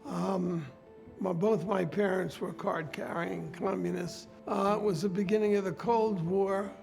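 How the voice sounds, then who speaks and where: A man's voice: sits high, steady tone, a little slow, vocal fry, a little mellow. An older man from New York, recalling his childhood in a reflective, anecdotal way for a documentary interview. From New York, USA. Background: background music.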